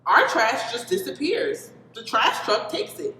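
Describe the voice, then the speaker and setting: A woman voicing a doubtful, expressive young boy in a children's storybook, with a dramatic, questioning manner. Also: a little high, unhurried, full character voice. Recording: reverberant hall with background noise.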